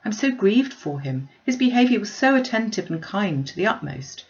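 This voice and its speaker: A woman voicing a gentle, charitable, troubled young gentlewoman from a classic novel, earnest and measured. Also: medium pitch, sing-song, muffled recording, pitched above the performer's own voice. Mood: sympathetic, hopeful.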